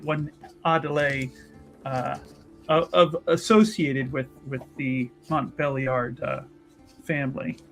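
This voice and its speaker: A man playing a tabletop roleplaying game, casually improvising in a wordy, hesitant way with careful pauses. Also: on the high side, fairly bright tone. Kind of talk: casual improvised in-character recap and questions.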